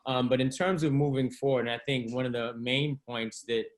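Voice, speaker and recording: Measured man commenting on a video call. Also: mid-range voice, steady tone. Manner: measured, hesitant. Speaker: discussion participant. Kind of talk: discussion comment.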